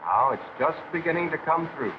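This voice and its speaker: An actor with an American accent playing a practical factory instructor who explains things methodically in a 1940s training film. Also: male voice, a little high, big pitch swings, a little fast. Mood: encouraging. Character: plain-spoken, practical male factory instructor. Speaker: shop floor instructor. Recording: dull, hissy 1940s film soundtrack.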